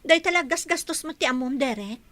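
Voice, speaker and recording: An actress playing a defensive, exasperated young wife in an Ilocano radio drama, with an Ilocano accent. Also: female voice, high voice, animated, fairly quick, pushed and bright, no echo.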